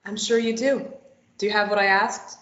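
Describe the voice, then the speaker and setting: A woman's voice: medium pitch, fairly even intonation, normal speed, fairly bright tone, lightly acted. A woman playing a bitter, sarcastic, self-deprecating young woman who wants to run away, in a stage play table read. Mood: curt, irritated. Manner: sarcastic, dismissive. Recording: dull, roomy, echoey recording.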